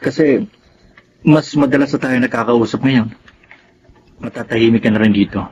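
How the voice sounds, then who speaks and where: A man's voice: mid-range voice, moderate pace, a little mellow, natural voice. Friendly young Filipino man played by an actor, muffled. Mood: fond, protective. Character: friendly, protective young man, fond admirer. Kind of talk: Filipino radio drama acting. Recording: dull, noisy radio recording.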